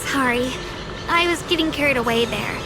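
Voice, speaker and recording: High-voiced earnest young swordswoman, played by an actress. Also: normal speed, heavy background noise, natural voice. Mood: earnest, reflective. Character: earnest young female swordmaster, gentle, self-doubting, spirited in battle. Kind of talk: video game voice acting. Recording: loud music behind the voice. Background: loud game music.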